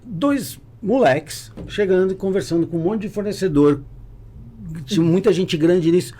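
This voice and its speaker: A man's voice: high-pitched, expressive, normal speed, warm tone. A Brazilian man speaking casually as a podcast guest about business, telling stories with repetitions and self-interruptions. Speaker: podcast guest, natural food store entrepreneur.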